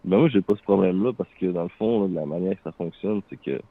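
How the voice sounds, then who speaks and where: A man's voice: low-pitched, fast, no echo. A man in his thirties with a Quebec French accent, talking matter-of-factly and hesitantly in a casual chat. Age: 30s. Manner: matter-of-fact, hesitant, many restarts. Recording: phone-like line.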